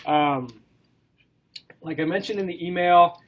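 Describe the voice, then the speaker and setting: A man with a cold and a stuffy nose, giving a classroom lecture in a relaxed way, with many ums and questions to the class. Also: high-pitched, a little slow. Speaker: college instructor.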